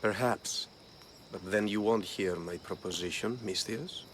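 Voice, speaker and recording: Sly wealthy stranger, very deep voice, measured, suave, video game, faint insect ambience. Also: male voice, a bit bright, a little hiss or hum. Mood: cool, amused. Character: smooth, sly, well-to-do male schemer from abroad. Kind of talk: video game cutscene acting.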